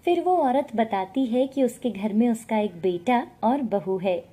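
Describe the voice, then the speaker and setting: High, mellow woman storyteller. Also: expressive, measured pace, husky. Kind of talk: scripted story narration.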